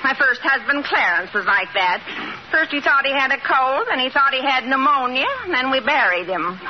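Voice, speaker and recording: High-voiced droll radio housemaid. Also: female voice, expressive, moderate pace. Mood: droll, obliging. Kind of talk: 1940s-50s radio comedy acting. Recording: muffled, very noisy vintage radio recording.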